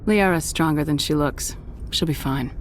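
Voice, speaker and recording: A woman's voice: low voice, moderate pace, slight background noise, close to an everyday voice. A woman playing a tough, blunt military commander in a video game, with a curt, commanding manner. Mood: subdued. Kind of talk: video game dialogue acting.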